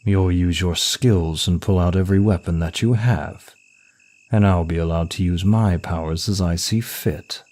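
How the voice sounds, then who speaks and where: A man playing a suave, smug male demon of lust in an ASMR roleplay, with a teasing, deliberate, seductive delivery. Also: low voice, darkish tone, lightly acted. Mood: amused, smug.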